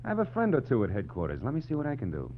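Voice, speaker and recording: An American actor playing a tough, sardonic private detective in 1940s radio style, with clipped, wisecracking delivery. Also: male voice, mid-range voice, wildly swooping pitch, very fast, a little hiss or hum. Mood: cool, sardonic. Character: wry, tough, cool male private detective. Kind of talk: 1940s radio detective acting, first-person narration. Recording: muffled, humming 1940s radio recording.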